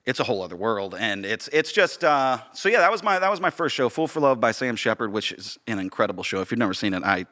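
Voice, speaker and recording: Male podcast guest, theater director type. Bright, mid-pitched voice, keen and emphatic, conversational. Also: very animated, fast talker, few pauses. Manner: enthusiastic, emphatic. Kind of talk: casual podcast chat.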